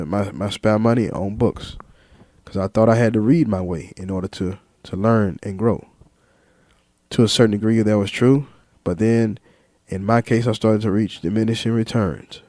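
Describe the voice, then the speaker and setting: A man talking freely to his viewers in a reflective monologue, slow and deliberate, listing ideas one word at a time with some fillers and repeats. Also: lowish voice, warm tone, dry recording, studio quality. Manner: lists ideas in measured single words. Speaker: vlogger.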